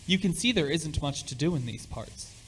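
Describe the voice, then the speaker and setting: A man's voice: a little high, lively intonation, moderate pace, a bit bright, breathy edge, poor audio. A man playing a sociable middle-aged father in an amateur radio play, with affable, old-fashioned phrasing. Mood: curious.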